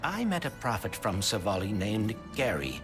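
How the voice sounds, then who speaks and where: A small, courteous robot companion, thoughtful and exact in wording. The intonation rises and falls freely, as in a video game cutscene, with music behind. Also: male voice, fairly low pitch, huge pitch swings, normal speed. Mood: thoughtful, calm. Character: small, polite, thoughtful male robot sidekick.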